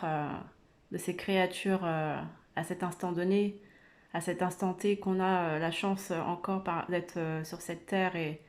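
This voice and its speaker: An earnest woman speaks in a lowish, level voice. She hesitates often, restarting her sentences and filling the pauses, as she urges her listeners on in an informal religious talk. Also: a little mellow. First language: not Arabic. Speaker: religious video creator. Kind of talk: informal spoken religious exhortation.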